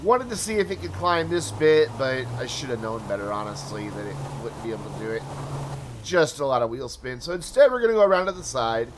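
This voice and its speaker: A man's voice: medium pitch, animated, brisk, a little hiss or hum. A man talking casually over gameplay footage as a channel host, chatty and full of asides, his voice tired from hours of talking. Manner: chatty, rambling asides, self-deprecating.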